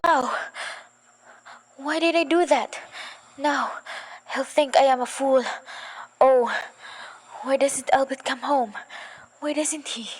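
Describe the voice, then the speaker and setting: A woman's voice: high-pitched, fairly even intonation, slow pace, pauses often. A girl playing a fretful bedridden woman. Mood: distraught. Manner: fretful, insistent, rising to panic. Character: anxious bedridden wealthy woman, nervous and demanding. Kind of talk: school radio play acting.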